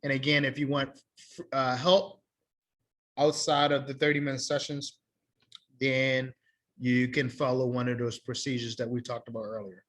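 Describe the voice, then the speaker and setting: A man in his thirties, an English teacher, wrapping up an online class in a relaxed, plain way, repeating himself, heard through a laptop on a video call from a small room. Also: mid-range voice, moderate pace. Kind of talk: casual online class wrap-up. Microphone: laptop webcam on a video call.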